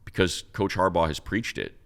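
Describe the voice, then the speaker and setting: Very deep-voiced man talking sports. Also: lively intonation, moderate pace, creaky. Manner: conversational, explanatory, poses rhetorical questions. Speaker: sports talk show host. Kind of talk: casual sports talk and analysis.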